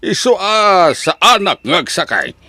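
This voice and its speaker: A man's voice: sits high, big pitch swings, unhurried, bright, forceful tone, no room sound, full character voice. A man with an Ilocano accent playing a poor, hardworking and hopeful farmer father in an audio drama. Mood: cheerful, hopeful.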